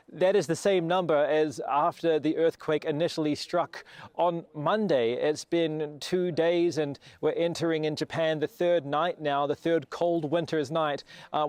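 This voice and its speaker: A man reporting as a television news correspondent, in measured broadcast delivery for pieces to camera and packaged stories. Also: on the high side.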